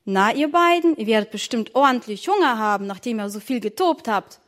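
A woman's voice: a little high, lively intonation, fairly quick, natural voice. A woman voicing a mother who calls her children in a motherly tone.

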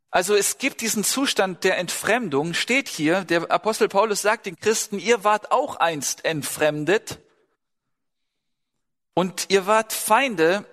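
Brief: male preacher, fairly high voice, chatty sermon, lingering hall echo. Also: a bit bright. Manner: conversational, asks the congregation questions. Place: church hall. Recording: long hall reverb.

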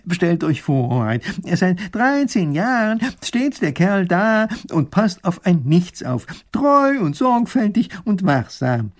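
A man voicing a clever old professor who speaks slowly and thoughtfully, with hesitant pauses. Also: fairly high pitch, sing-song, creaky, a little mellow, breathy edge, voice pushed up, exaggerated voice. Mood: pondering. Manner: slow, pondering delivery with hesitations. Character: clever, impatient old male professor-minister.

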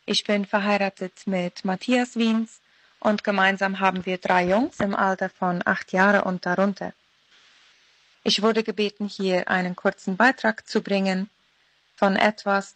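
A woman's voice: mid-range voice, level tone, measured pace, fairly bright tone, no room sound, rough recording. A woman in her thirties giving a short personal devotional talk as a guest contributor. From Philadelphia (Mennonite colony).

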